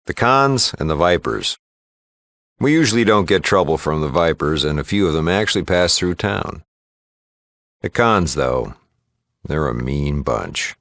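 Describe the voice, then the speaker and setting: A male actor playing a gruff, plain-spoken small-town shopkeeper and lawman in a video game, with a gravelly American voice and a folksy, matter-of-fact manner. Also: animated, a little slow, long pauses, fairly bright tone, no room sound, exaggerated voice. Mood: wry, matter-of-fact. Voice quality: gravelly, low. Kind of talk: video game character dialogue.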